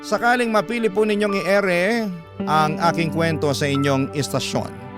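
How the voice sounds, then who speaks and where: A man's voice: sits high, steady tone, normal speed, over music. A male radio host reading out a listener's letter as dramatic scripted narration.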